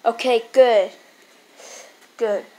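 A young performer playing a grumpy, tired father who scolds his son in a homemade skit, gruff and repeating his orders. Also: mid-range voice, fairly even intonation, very slow, mellow, a little hiss or hum, poor audio.

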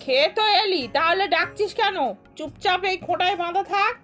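Very high-pitched fussy village mother, acted by a woman. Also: a little fast, fairly bright tone. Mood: bossy, homely. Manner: homely, chiding, fussy. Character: fretful, scolding village farm wife and mother. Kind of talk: amateur cartoon dubbing. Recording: music under the voice.

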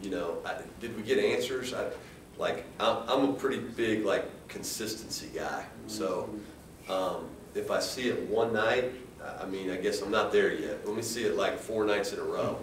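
An American man speaking as a coach at a post-game press conference, in a halting, measured way with frequent restarts. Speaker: head basketball coach. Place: indoor press room at a table. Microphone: distant camera. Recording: roomy, distant mic with faint rumbling noise.

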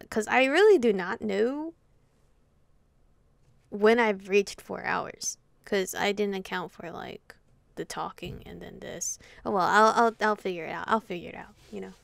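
A woman's voice: medium pitch, creaky, fairly bright tone. A young woman doing chatty, playful let's play commentary and reading game dialogue aloud, with game music behind her. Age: young adult. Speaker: gaming video host.

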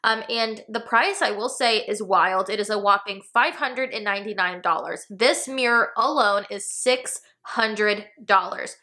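A woman's voice: mid-range voice, a little slow, few pauses, pushed and bright. An American woman in her thirties speaking casually and emphatically to camera as an enthusiastic home decor host. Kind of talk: casual product review to camera. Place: small furnished room indoors.

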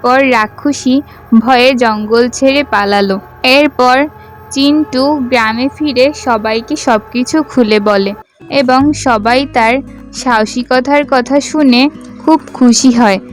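A woman's voice: a little high, unhurried, soft background music. A woman narrating a scripted children's story as a storyteller.

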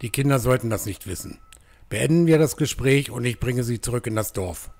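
A man playing a stern, protective forest huntsman in a fantasy audio drama, with a gruff, scolding manner. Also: medium pitch, expressive, a little fast, darkish tone. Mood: reluctant.

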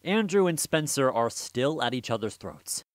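A man's voice: mid-range voice, very animated, moderate pace, no room sound, natural voice. A man as cocky teenage boy, crude, scornful, audiobook character, close dry recording. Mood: smug. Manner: scoffing, blunt. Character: smirking, crude teenage troublemaker high school boy.

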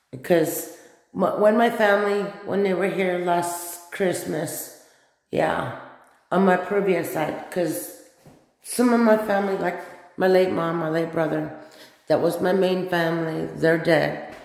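A woman's voice: deep voice, creaky, a little mellow, poor audio. A woman filming her bedroom and doll collection on a phone, talking in a rambling, hesitant way and losing her words now and then. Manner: slow, hesitant, loses her words, nervous. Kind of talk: casual home vlog, rambling. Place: small bedroom at home. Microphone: phone camera held by the speaker, off screen.

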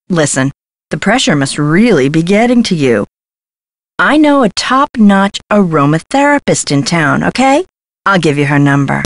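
A woman's voice: low voice, no room sound. A woman voicing a brash, scheming Hollywood talent agent in a video game, glib and condescending, with slangy talk.

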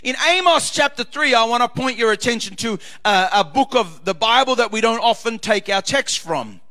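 A man preaching as pastor, reading scripture and swinging between loud shouted climaxes and quieter asides. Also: very high-pitched, expressive, moderate pace. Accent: Australian. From Australia.

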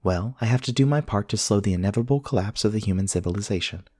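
A man plays a courteous male android companion in an audio roleplay. His voice sits fairly low and is recorded close and dry. He speaks with formal, careful precision, earnest and literal. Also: a little mellow, no room sound, lightly acted. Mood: matter-of-fact. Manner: formal, measured, precise diction. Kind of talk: scripted ASMR roleplay acting.

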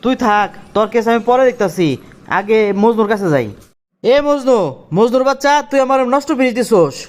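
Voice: medium pitch, animated, brisk, lightly acted. Casting: hot-headed, cheeky young village schemer played by an actor, rural Bangladeshi accent, colloquial and argumentative, comic cartoon. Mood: angry, accusing. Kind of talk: comic Bengali cartoon dialogue.

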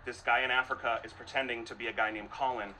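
A man's voice: medium pitch, fairly even intonation, fast, bright, forceful tone, slight background noise, poor audio. A man working as a journalist, confronting someone firmly at a front door outdoors. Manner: firm, demanding. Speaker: investigative journalist. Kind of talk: confronting at a doorstep.

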